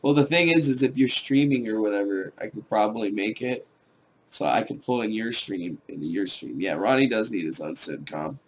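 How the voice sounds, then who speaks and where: A man's voice: fairly low pitch, expressive, normal speed, slight background noise, rough recording. A man hosting a casual live stream, bantering loosely and swearing as he chats. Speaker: stream host. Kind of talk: casual chat.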